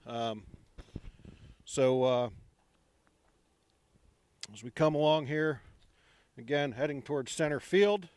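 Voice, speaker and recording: A middle-aged man giving a relaxed walking tour of an outdoor construction site, speaking into a handheld microphone with many ums. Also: mid-range voice, takes it slowly, long pauses, smooth, dry recording.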